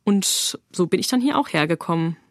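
A woman's voice: fairly low pitch, fairly quick, no room sound, studio quality. A young woman, an opera soprano, talking enthusiastically in a casual radio interview. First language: German. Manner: enthusiastic, lively, casual phrasing with fillers. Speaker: soprano singer, interview guest.

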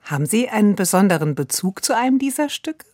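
A woman hosting a radio interview, asking questions in a measured, formal way. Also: medium pitch, big pitch swings, darkish tone, no room sound, studio quality. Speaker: radio host.

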